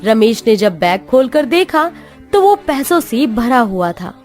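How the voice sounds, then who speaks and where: A woman narrating a cartoon moral story in an expressive, sing-song way. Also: a little high, a little slow, soft background music.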